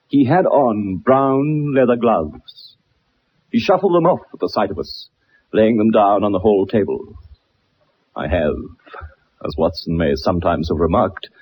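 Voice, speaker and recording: An actor playing a keen, self-assured gentleman detective with precise, formal speech in a 1960s radio drama. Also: fairly low pitch, husky, heavily acted. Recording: muffled old radio recording.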